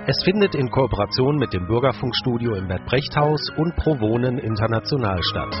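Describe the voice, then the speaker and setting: A male announcer reading a formal script at a measured pace. Music plays clearly underneath, and the sound is dull and lo-fi. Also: mid-range voice. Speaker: radio announcer. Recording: dull lo-fi radio recording.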